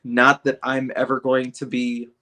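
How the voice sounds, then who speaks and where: A man's voice: medium pitch, completely flat delivery, unhurried, bright, forceful tone. A man hosting a podcast, a music educator, talking in a loose, rambling way with many restarts. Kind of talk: casual conversation.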